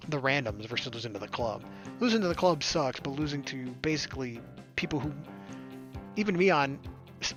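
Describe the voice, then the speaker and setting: A man reading visual novel narration aloud and adding casual commentary as a gaming streamer. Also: mid-range voice. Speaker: let's play streamer.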